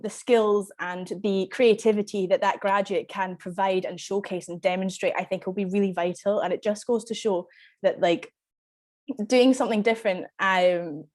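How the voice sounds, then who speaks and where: A woman with a cold, sounding croaky as a guest speaking earnestly on a video call. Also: medium pitch, a little mellow. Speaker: guest from a graduate events group. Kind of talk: casual remarks on a video call.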